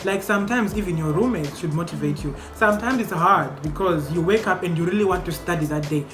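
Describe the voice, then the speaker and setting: A young man hosting a casual group discussion among medical students, asking questions in an animated way. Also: sits high, fast talker. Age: young adult. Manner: animated, questioning, laughs often. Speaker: host. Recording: echoey room with music behind.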